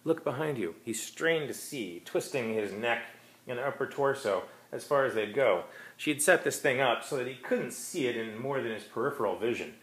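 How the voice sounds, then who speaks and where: A man's voice: mid-range voice, lively intonation, moderate pace, a bit bright, rough recording. A man reading his own short story aloud as scripted narration.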